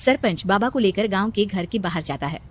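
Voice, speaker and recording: A woman narrating a storybook cartoon in a steady storytelling manner. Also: mid-range voice, a little fast, dry recording.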